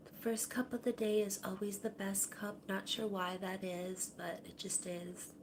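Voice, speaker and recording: Chatty woman at home, talks soft and rambly, mid pitch, lots of fry. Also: little pitch movement, measured pace, noisy background. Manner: soft-spoken, rambling, trails off quietly. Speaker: livestream host. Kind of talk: casual chat to viewers. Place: home kitchen. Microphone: camera on a tripod.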